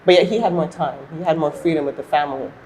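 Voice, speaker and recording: A woman's voice: sits low, quick pace, darkish tone, low-quality recording. A woman from Canada, answering interview questions in a conversational way. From born in Montreal, Canada, with years spent in Trinidad. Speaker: guest, entrepreneur and former aerospace engineer. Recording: light background noise.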